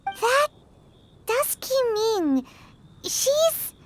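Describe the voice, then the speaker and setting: A woman voicing a small, childlike, bossy and excitable fairy companion in a video game, with a squeaky, piping, chirpy voice. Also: drawn-out words, background noise, exaggerated voice. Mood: urgent, eager. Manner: chirpy, talks of self in third person. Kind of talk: video game cartoon-like voice acting. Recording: loud background music.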